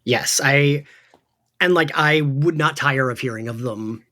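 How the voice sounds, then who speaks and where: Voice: medium pitch, unhurried, bright, forceful tone. A podcast host in casual chat, recapping a story in a chatty way and peppering sentences with "like" and "right". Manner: chatty, heavy use of "like" and "right".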